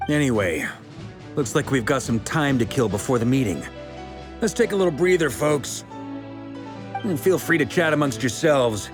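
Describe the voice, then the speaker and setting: Man playing hearty, easygoing knight commander, medium pitch. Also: animated, moderate pace, pauses often, over music, lightly acted. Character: genial, confident male grandmaster of knights, adult leader. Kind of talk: video game character acting.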